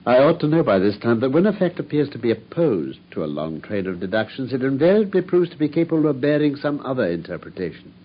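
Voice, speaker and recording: An actor playing a brilliant, self-assured male detective in a radio drama, speaking in a crisp, measured way. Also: medium pitch, very animated. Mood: rueful. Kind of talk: 1950s radio drama acting. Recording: dull old radio broadcast with faint hiss.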